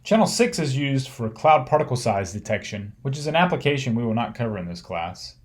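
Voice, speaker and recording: Male lecturer explaining slides in measured phrases. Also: mid-range voice, sing-song. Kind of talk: narrated slide lecture on satellite imagery.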